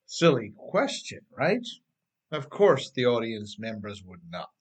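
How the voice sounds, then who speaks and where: A middle-aged man, an author reading his own scripted motivational writing with emphatic, deliberate phrasing. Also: medium pitch, big pitch swings, slightly rough.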